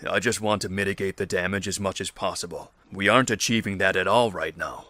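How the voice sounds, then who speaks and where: A man playing a pragmatic survivor leader in a fan audio drama, speaking in a measured, earnest, formal manner. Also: low-pitched, steady tone, pushed and bright. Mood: earnest, persuasive. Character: serious male survivor leader, pragmatic, persuasive, pressing for results.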